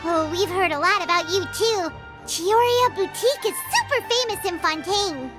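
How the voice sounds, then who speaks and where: A woman's voice: very high-pitched, lively intonation, moderate pace. A woman voicing a small, chatty female companion creature in a game, who speaks of herself in the third person. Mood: curious. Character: tiny floating female companion, chatty, excitable. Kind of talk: game voice acting. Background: game music.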